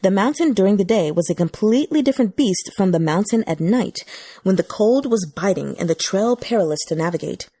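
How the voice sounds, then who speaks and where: A woman's voice: medium pitch, lively intonation, brisk, mellow, slightly rough, no room sound, clean studio sound. A woman reading scripted fiction as an audiobook narrator.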